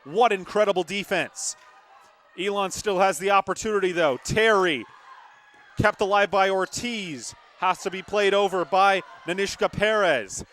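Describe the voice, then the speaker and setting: A man's voice: high-pitched, a little slow, a bit bright. A young man calling live volleyball play-by-play from a gym, with emphatic, dramatic calls. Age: young adult. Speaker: play-by-play sportscaster. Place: courtside in a gymnasium.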